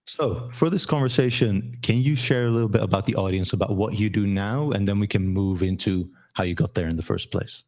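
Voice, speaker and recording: A man hosting a live interview stream over a video call, with upbeat, smooth patter. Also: on the low side, fairly quick, hardly any pauses, darkish tone. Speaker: host.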